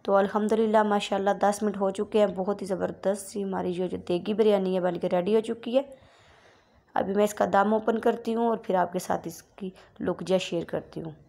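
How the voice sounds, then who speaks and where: A woman gives a recipe voice-over at a middling pitch. Her tone is mellow and soft-edged. She walks through each cooking step in a polite, steady, instructional way. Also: a little fast, gravelly. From Pakistan. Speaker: cooking channel host.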